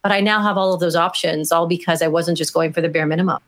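A woman author being interviewed, talking in an animated, emphatic way with small fillers. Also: fairly low pitch, quick pace. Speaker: author and guest. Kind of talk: conversational interview answers.

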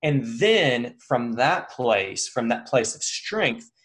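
An earnest man in his thirties talking through a personal story as a guest. He speaks at a middling pitch, in halting phrases with frequent restarts and fillers. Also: big pitch swings, a bit bright. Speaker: interview guest. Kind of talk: reflective conversational interview.

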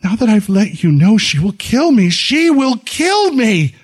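A man's voice: very high-pitched, normal speed, pitched above the performer's own voice. A man reading a storybook, voicing a frightened young bride who pleads in a trembling, tearful way. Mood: pleading.

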